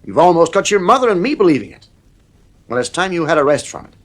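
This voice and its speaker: Radio play: a troubled, firm middle-aged dad at his wits' end, highly varied intonation. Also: very animated, quick pace, fairly bright tone. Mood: stern, exasperated. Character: stern, worried father at his wits' end. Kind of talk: radio drama acting.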